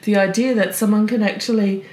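A woman's voice: medium pitch, steady tone, a little fast, darkish tone. A woman interviewing in a conversational style, with a curious, thoughtful way of talking. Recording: roomy echo, faint background noise.

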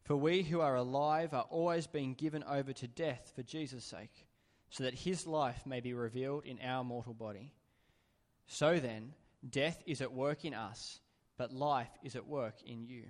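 Man reading bible out loud, steady even pace, medium voice, long echo like a big church hall. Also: medium pitch, normal speed. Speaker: scripture reader.

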